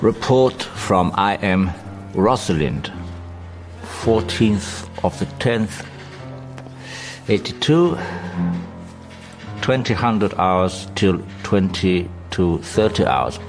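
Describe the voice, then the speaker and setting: An actor playing an East German secret informant in a radio drama, reading a surveillance report in a clipped, formal manner. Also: male voice, low-pitched, lively intonation, very slow, full character voice. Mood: cold, official. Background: music bed.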